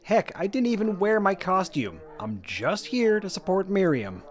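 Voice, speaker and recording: A fairly high male voice with lively pitch movement from an actor. He plays a peaceable man defending himself in a placating way in an audio comedy. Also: moderate pace. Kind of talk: comedic audio drama acting.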